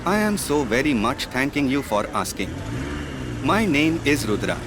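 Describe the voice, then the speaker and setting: An actor playing a polite, eager foreign tour driver with formal, stilted English in a comic radio play. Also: male voice, medium pitch, big pitch swings, normal speed, background noise, lightly acted. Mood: courteous, eager. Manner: formal, oddly worded, overly courteous.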